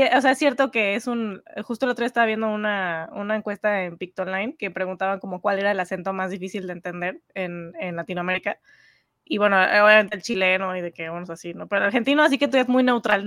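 A woman's voice: medium pitch, fairly bright tone, dry recording, studio quality. A woman with a Mexican accent chatting casually, thinking aloud in a rambling, colloquial way. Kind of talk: casual chat.

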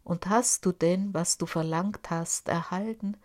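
A woman voicing a small kindly male glass forest spirit in an audiobook, speaking in a hushed whisper. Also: fairly low pitch, a little slow, natural voice. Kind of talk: audiobook character voice.

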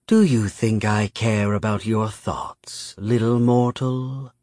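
A man voicing a menacing female predator spirit in an audiobook, cold, mocking and contemptuous, with slow, drawn-out, purring delivery. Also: lowish voice, expressive, breathy edge, no echo, clean studio sound, exaggerated voice. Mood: scornful rage.

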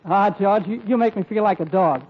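A man's voice: high-pitched, a little fast, natural voice. A man playing a cheerfully insulting singer sidekick, trading banter in a 1940s radio comedy. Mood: teasing. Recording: muffled, hissy old radio recording.

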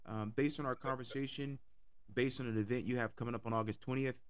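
A man hosting an interview podcast, his voice hoarse from a cold, talking with enthusiasm and repeating words. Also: mid-range voice, steady tone, quick pace. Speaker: podcast host. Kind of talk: casual interview.

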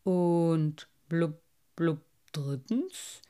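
Storybook carp, played by a woman: thoughtful male fish, unhurried, lower register, bubbly verbal tics. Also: warm tone, clear, resonant tone. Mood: puzzled. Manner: deliberate, bubbling blub interjections between phrases. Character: slow, thoughtful old-fashioned male carp, loyal and easily moved. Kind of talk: storybook character voice.

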